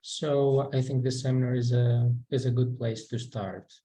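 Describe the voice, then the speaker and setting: A man introduces himself over a call. He talks in a measured way with small pauses. His voice is mellow and mid-pitched. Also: level tone. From Greece. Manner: measured, pausing with fillers. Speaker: seminar participant.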